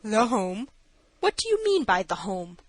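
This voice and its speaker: A woman voicing a kindly older male judge in an audiobook, authoritative and deliberate, by turns stern and tender. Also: medium pitch, sing-song, no room sound. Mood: stern, questioning.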